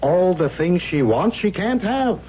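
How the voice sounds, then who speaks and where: An actor playing a probing, challenging doctor in an old-time radio drama, speaking in a pointed, analytical way. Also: male voice, sits high, sing-song, a little slow, slight background noise. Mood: probing, insistent. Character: probing, challenging male doctor lecturing his patient. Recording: muffled, humming old radio recording.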